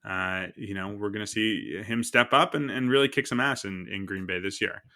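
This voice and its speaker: A man's voice: on the low side, normal speed, bright, forceful tone. A man co-hosting a podcast, talking easily about football with jokes and fillers. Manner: easygoing, joking, with fillers. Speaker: podcast co-host. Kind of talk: casual sports chat.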